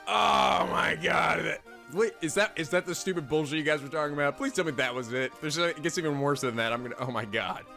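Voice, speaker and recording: A man in his twenties doing let's play commentary, loud and exasperated, shouting and swearing. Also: fairly high pitch, fast, gravelly, faint music behind.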